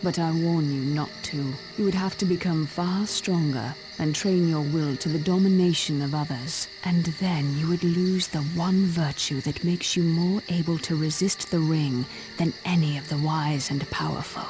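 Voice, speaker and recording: A woman playing an ancient, regal elven queen in a fantasy video game, solemn, measured and formal. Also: low-pitched, background noise, close to an everyday voice. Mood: calm, resigned. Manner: slow, measured, grave, formal.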